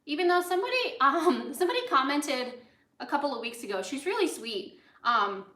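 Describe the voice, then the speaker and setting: Bright, chatty American woman in an echoey room. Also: high-pitched, big pitch swings, brisk. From United States, grew up in Los Angeles. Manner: chatty, rambling, often drifting into asides. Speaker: host of a cooking livestream, chef. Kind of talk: casual livestream chat while cooking. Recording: roomy, echoey indoor sound.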